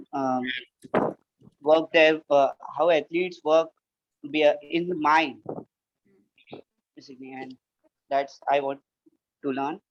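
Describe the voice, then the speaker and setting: A man in his twenties with an Indian English accent, introducing himself haltingly over a video call, with many fillers. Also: on the high side, no echo. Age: 20s. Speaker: participant, cricketer. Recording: dull, dry call audio.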